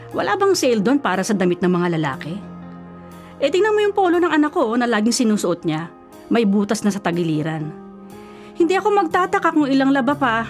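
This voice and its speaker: An actress playing a caring, protective middle-aged mother in a Filipino radio drama, gently chiding in a Filipino accent. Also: fairly high pitch. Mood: pointed, nagging. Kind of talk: Tagalog radio drama acting.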